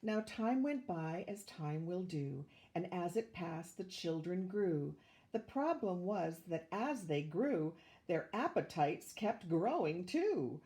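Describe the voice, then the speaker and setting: An older woman reading a rhyming children's picture book aloud with measured, expressive storytelling. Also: on the low side. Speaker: volunteer storybook reader, retired teacher.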